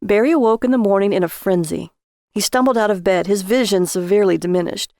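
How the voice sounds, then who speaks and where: A woman narrates scripted fiction in a creaky, medium-pitched voice. The recording is dry, like an audiobook. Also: fast talker, warm tone, no room sound.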